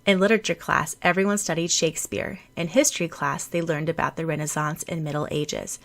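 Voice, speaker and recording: Bright-voiced woman narrating a story. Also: low voice, steady tone, brisk, gravelly. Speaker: audiobook narrator. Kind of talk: scripted fan fiction narration.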